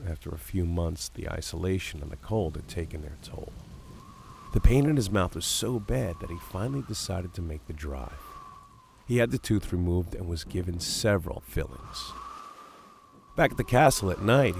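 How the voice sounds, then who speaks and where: A man with a very low voice narrates a horror story in a dramatic style. His tone has a heavy creak and gravel to it. A faint wash of rain sits behind the voice. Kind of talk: scripted horror narration, creepypasta reading. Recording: steady background noise of rain ambience.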